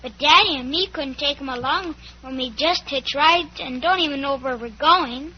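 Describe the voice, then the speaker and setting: Very high-voiced little American girl acted by a woman. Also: normal speed, natural voice. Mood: trusting, chatty. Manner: childlike, artless, earnest. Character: chatty, trusting, innocent little girl. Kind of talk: old-time radio drama acting. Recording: muffled old radio recording with hum.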